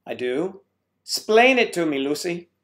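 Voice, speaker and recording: A man's voice: on the high side, big pitch swings, a little slow, a bit bright. A man hosting a casual livestream from home, rambling as he greets chat viewers and stumbles over their names.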